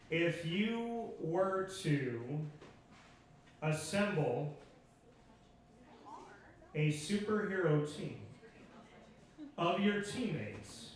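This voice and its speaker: A man hosting a casual live on-stage interview, joking and encouraging in an animated way. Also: fairly high pitch. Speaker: show host. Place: pub stage, in front of an audience. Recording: echoey pub, distant mic, crowd chatter.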